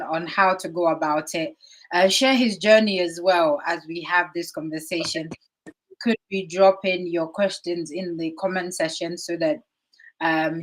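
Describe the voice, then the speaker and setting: A woman hosting an online interview show while she has a cold. She speaks very slowly and in a lowish voice, leaning on many fillers as she introduces her guest. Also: rough recording. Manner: unhurried, filler-heavy. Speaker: host.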